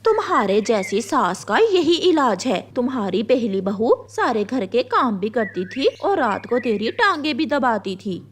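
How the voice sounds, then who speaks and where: A woman puts on a defiant cartoon bird lady with a high, swooping voice. She sounds sassy and taunting, taking her time over her words. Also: sing-song, unhurried, hardly any pauses, slightly rough, exaggerated voice. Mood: mocking. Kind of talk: Hindi children's cartoon voice acting.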